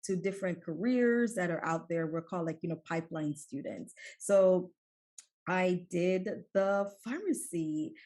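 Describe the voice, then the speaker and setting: A middle-aged woman from Jamaica, a physician guest telling her story in a relaxed, conversational way with frequent fillers. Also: lowish voice, measured pace. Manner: warm storytelling with laughs and many fillers.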